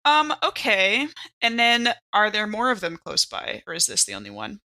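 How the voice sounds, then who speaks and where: A woman chatting casually as a player in a tabletop roleplaying game, with expressive, giggly reactions. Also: mid-range voice, moderate pace, gravelly.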